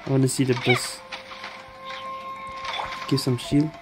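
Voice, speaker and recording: Very low-voiced woman gamer. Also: little pitch movement, a little slow. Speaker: gaming streamer. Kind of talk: casual gameplay commentary. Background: game music.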